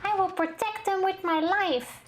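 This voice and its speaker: A woman's voice: very high voice, measured pace, soft background music, pitched above the performer's own voice. A woman reading a game's dialogue aloud, voicing a small female rabbit mascot who vows to protect her students. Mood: earnest. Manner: reading game dialogue aloud in a raised earnest voice.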